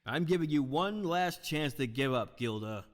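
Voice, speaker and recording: A man's voice: fairly high pitch, lively intonation, normal speed, natural voice. A man playing an earnest, anguished hard-boiled detective who narrates his own life in a noir audio drama. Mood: pleading. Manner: melodramatic, self-narrating. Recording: hall-like long reverb.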